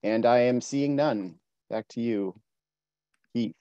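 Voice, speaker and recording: A man on city staff reading out meeting procedures over a video call in a measured, scripted way. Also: medium pitch, mellow, dry recording. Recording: dull, dry video-call audio.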